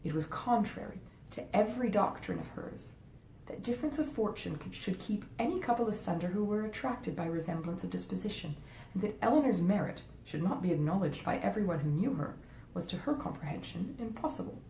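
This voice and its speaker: A woman's voice: lively intonation, fairly quick, creaky, dark tone. A woman reading a classic novel aloud as scripted literary narration. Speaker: audiobook reader, author reading a classic novel. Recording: roomy echo, faint rumbling noise.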